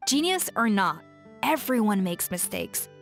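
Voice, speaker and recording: A brisk, self-assured chef. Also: female voice, medium pitch, very animated, a bit bright, soft background music. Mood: stern. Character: confident seasoned female chef, brisk mentor. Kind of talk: game cutscene voice acting.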